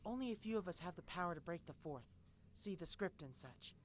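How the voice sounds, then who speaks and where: A woman's voice: on the low side, animated, fairly quick, crowd noise, close to an everyday voice. Woman as an earnest young cartoon pony mare. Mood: reassuring. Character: earnest young female pony explaining secret knowledge. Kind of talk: parody cartoon dub.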